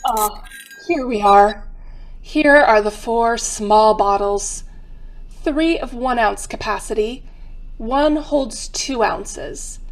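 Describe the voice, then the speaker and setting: A woman narrating an old-time radio crime drama as a solemn, macabre museum guide, theatrical and deliberate. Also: fairly high pitch, poor audio.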